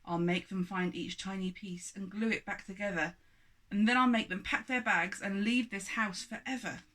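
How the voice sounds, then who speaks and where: A woman voicing a huge, angry, pompous father giant who booms out accusations in a children's storybook. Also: steady tone, normal speed, fairly bright tone, close to an everyday voice. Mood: furious.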